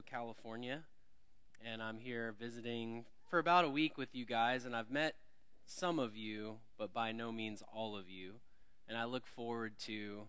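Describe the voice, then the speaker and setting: A man's voice: medium pitch, bright, forceful tone, smooth. Man from Alabama preaching a sermon. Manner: friendly, self-deprecating, rises for emphasis when reading scripture. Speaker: visiting preacher. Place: church sanctuary.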